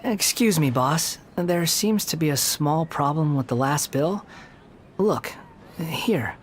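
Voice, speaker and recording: A man's voice: a little high, animated, takes it slowly, a little hiss or hum. A man voicing a polite, earnest young shop helper in a video game, with a deferential, apologetic manner. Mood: apologetic, sheepish. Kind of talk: English video game voice acting.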